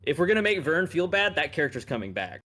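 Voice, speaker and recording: A man running the game as game master, lively and quick to laugh. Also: on the high side. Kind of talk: casual chat at a tabletop game.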